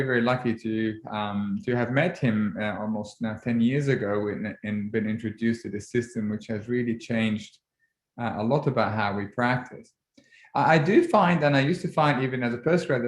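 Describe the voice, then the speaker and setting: A man in his forties, originally from Egypt, giving an orthodontics webinar lecture in a measured way with frequent ums and restarts. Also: mid-range voice. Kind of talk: relaxed presentation over slides. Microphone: computer mic on a video call. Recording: roomy video-call audio.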